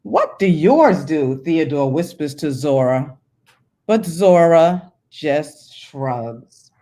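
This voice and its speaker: A woman's voice: sits low, expressive, slow pace, mellow. A woman, a children's book author, who chats casually as a guest and reads storybooks aloud. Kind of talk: casual interview talk, then storybook reading.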